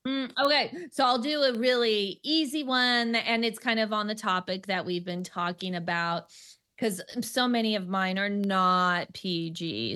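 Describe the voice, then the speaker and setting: A woman's voice: medium pitch, bright, forceful tone. A woman stand-up comic who stutters, talking casually in a radio interview with many restarts and easy laughter. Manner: frequent fillers and restarts, laughs easily. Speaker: stand-up comedian.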